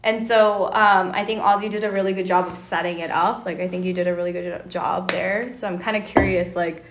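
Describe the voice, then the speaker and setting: A woman with a raspy voice from a cold, co-hosting a casual podcast in an upbeat, playful way and asking her co-host questions. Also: on the low side, fairly even intonation, fairly quick, slight background noise. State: recovering from a cold. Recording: echoey room.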